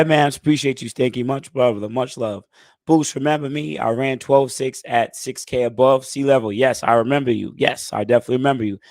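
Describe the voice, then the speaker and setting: A man in his thirties hosting a casual live stream Q&A, talking in a laid-back, conversational way, his voice a bit hoarse as he gets it back. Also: mid-range voice, darkish tone, no echo. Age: 30s. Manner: laid-back, conversational, frequent "you know what I'm saying". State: hoarse, recovering lost voice. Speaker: channel host answering live chat.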